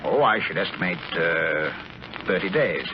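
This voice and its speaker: A man with an American accent acting an earnest rocket scientist who narrates his story in a measured way in a 1940s radio drama. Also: deep voice, animated, background noise. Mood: respectful, sober. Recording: muffled old 1940s radio recording, music behind.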